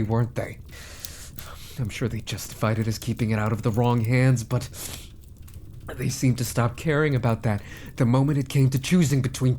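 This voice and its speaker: Guy doing a smug evil minister wizard, mid pitched, haughty monologue w quiet asides, asmr roleplay, bit of noise behind. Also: natural voice. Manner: haughty, deliberate, trailing into hushed asides. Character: arrogant, scheming immortal mage minister, megalomaniac villain.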